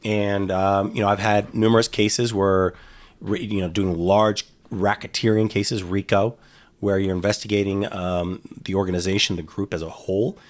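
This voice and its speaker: A man's voice: on the low side, level tone, moderate pace. A middle-aged man talking casually in an interview, rambling with frequent restarts and fillers. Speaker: guest, former FBI agent.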